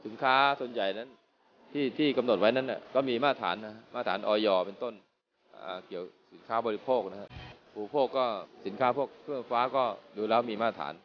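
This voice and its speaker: A middle-aged police officer speaks plainly and haltingly, repeating words as he answers questions inside a store. The sound is slightly dull, with faint noise underneath. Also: mid-range voice. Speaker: consumer protection police officer. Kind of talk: on-the-spot interview. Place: inside a supermarket.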